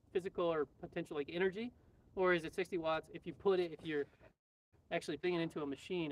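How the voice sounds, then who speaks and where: A man's voice: on the high side, far from the mic, low-quality recording. A man chatting casually on a livestream, thinking aloud in a rambling, joking way. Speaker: livestream guest and radio hobbyist. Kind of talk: casual live chat. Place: outdoors in a quiet spot with birds. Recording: off-mic, faint background noise.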